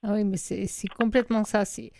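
A woman's voice: medium pitch, fairly even intonation, brisk, creaky, a little mellow, dry recording, studio quality. A woman co-hosting an interview, enthusiastic and hesitant, with many fillers and restarts. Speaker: interviewer, co-host. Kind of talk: casual interview conversation.

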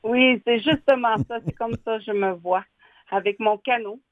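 Older woman, from Nutashquan, halting with fillers, tinny phone line, dry sound. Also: fairly high pitch, no room sound. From Nutashquan, Quebec. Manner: halting, many fillers and restarts, laughs often. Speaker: guest, educational advisor. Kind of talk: radio interview.

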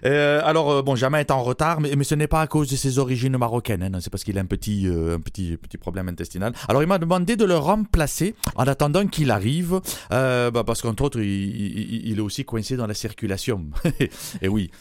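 A man's voice: medium pitch, fairly quick, no echo, clean studio sound, lightly acted. A man voicing a brash, mannish woman from Toulouse, a former rugby player, with a southern French accent, bawdy and punning, chuckling at his own jokes in a radio comedy sketch. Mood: cheeky.

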